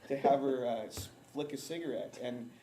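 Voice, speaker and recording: Man, speech coach, mid-pitched voice, matter-of-fact, casual interview talk. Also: moderate pace, mellow, a little hiss or hum. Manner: matter-of-fact, praising his students.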